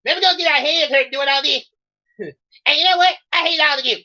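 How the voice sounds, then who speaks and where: Whiny, ill-tempered cartoon boy reading a story; high, sharp voice. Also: fast, highs cut off. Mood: bratty, sardonic.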